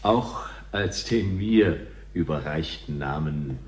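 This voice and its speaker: An actor playing a formal, measured alien space visitor in a radio drama. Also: male voice, very deep voice, lively intonation, darkish tone, a little hiss or hum, full character voice. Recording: roomy, reverberant sound.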